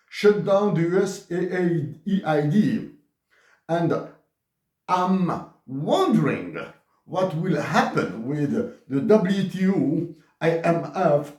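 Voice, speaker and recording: Algerian man reading an open letter, slow and deliberate, lively pitch, roomy echo. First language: Tamazight. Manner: slow, emphatic delivery with word restarts. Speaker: commentator. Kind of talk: read-aloud open letter.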